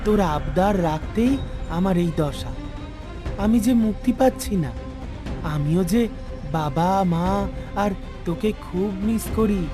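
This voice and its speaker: A man's voice: high voice, expressive, unhurried, pauses often, music in the background. A man voicing a restless, possessive ghost of a dead older brother in a cartoon, mournful and menacing. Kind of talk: cartoon story dubbing.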